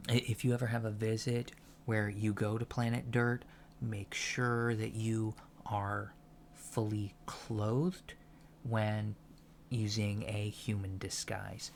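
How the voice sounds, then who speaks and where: A soft-spoken man giving a deadpan, matter-of-fact ASMR roleplay lecture as a college teacher. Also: lowish voice, creaky, slight background noise. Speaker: ASMR performer playing an alien college lecturer.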